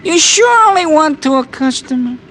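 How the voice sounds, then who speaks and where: Sly American cabbie, high sharp voice, slangy and grasping, noisy vintage radio recording. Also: male voice, lively intonation, moderate pace, full character voice. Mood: cagey. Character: cagey, grasping male city cab driver. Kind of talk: 1940s-50s radio character acting.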